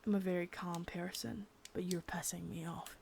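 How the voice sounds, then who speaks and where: A woman voicing a sadistic, menacing female boss in an ASMR roleplay, whispering softly with spoken threats between. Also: fairly low pitch, fairly even intonation, moderate pace, creaky, slight background noise. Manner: taunting and threatening.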